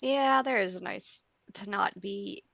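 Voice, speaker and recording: A woman co-hosting a podcast in casual chat, upbeat and quick to laugh. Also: medium pitch, creaky, a bit bright, dry recording. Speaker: podcast co-host.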